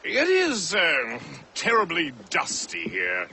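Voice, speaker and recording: A jovial ship's mate with a high, bright voice that swoops wildly up and down. He draws out his words slowly and with theatrical relish, in a video game cutscene with faint noise behind. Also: huge pitch swings, exaggerated voice.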